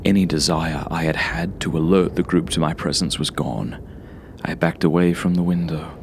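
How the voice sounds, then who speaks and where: A man reading a first-person horror story as an audiobook narrator, in a dramatic, suspenseful manner with hushed asides. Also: a bass voice, normal speed, slight background noise.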